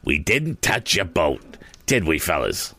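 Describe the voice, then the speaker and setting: A man's voice: low-pitched, sing-song, moderate pace, a bit bright, dry recording, full character voice. A man voicing a teenage bully in a storybook who earnestly and defensively protests his innocence. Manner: defensive, earnest, plain-spoken.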